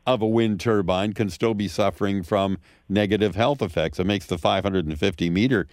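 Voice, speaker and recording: Deep-voiced radio host interviewing. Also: male voice, fairly quick, a little mellow, no room sound, studio quality. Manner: probing, agreeable interviewer.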